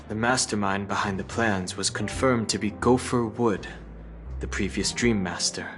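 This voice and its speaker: A serious young companion in a video game, with a fairly low voice. He is reserved and matter-of-fact, with faint music behind. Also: fairly even intonation, a little slow, fairly bright tone. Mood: sober.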